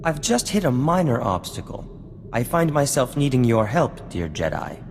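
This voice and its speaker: An actor playing a vain, charming scientist in a video game, talking in grandiose, courtly phrases. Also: male voice, medium pitch, very animated, moderate pace, gravelly, big-room sound. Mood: wheedling, courtly. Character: flamboyant, vain, charming male scientist and self-styled genius. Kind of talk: scripted video game acting. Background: music.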